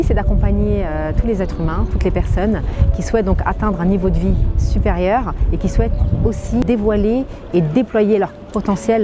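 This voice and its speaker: Late 30s woman coach, emphatic and uplifting, music clearly behind. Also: mid-range voice. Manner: inspirational, emphatic. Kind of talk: interview.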